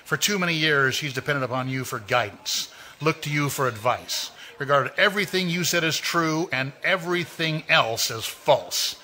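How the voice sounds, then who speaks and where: A man's voice: fairly high pitch, sharp tone. A man doing a sly, knowing mystery narrator. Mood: taunting. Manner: insinuating, measured, knowing. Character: mysterious omniscient male narrator taunting a killer. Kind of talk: 1940s radio acting.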